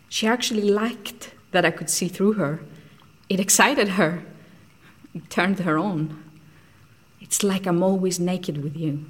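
An actress playing an intense, candid woman scientist in an audio drama, telling of lost love in an earnest, deliberate, wistful way. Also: on the low side. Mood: wistful, tender. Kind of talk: audio drama acting, confessional storytelling.

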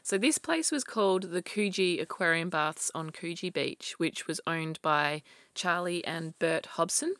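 A woman with a slightly croaky, fairly low voice tells a true crime story in a relaxed, chatty way. She laughs often and restarts her sentences, and the sound is dry and close. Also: unhurried, hardly any pauses, no room sound. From Australia. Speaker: podcast co-host telling a true crime story. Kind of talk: casual chat.

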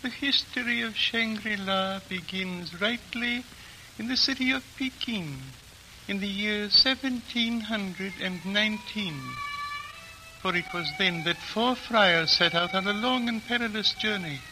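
An ancient, frail High Lama. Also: male voice, poor audio. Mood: grave. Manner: slow, grave, measured storytelling. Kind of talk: 1940s radio drama acting. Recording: old radio broadcast with music.

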